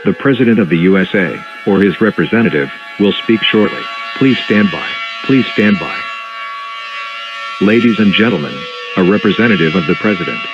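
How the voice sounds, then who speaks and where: A man playing a solemn official emergency alert announcer, speaking in a formal, measured way. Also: low voice, lively intonation, highs cut off, over music.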